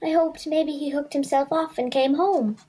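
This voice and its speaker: Casting: little Irish schoolgirl played by a female actor, extremely high voice, sincere, worried, plaintive, children's radio play. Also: steady tone, normal speed, mellow, natural voice. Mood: anxious. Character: earnest, worried young schoolgirl. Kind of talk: children's audio drama acting.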